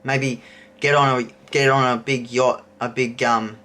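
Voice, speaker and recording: Australian male vlogger, thirties, unhurried and rambling, with a bright, forceful tone. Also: medium pitch, little pitch movement. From Queensland, Australia. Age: 30s. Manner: rambling, thinking aloud. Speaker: YouTuber host. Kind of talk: casual chat to camera.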